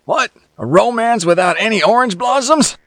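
A man voicing a cynical, folksy storyteller with a rasping chuckle, talking in old slang. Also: high-pitched, animated, moderate pace, a bit bright, a little hiss or hum, voice pushed up. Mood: sardonic.

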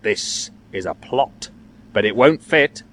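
Male storyteller as young man, wry, cool, offhand, mock-resigned, lively varied pitch, storybook character. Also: slow pace, heavily acted. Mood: shrewd.

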